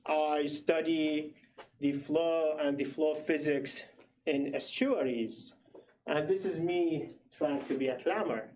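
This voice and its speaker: A man's voice: on the high side, darkish tone, a little hiss or hum, poor audio. A man from Iraq, a PhD student in his thirties, gives a hesitant, explanatory research presentation at a public meeting. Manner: slow, hesitant, explanatory, filler-heavy.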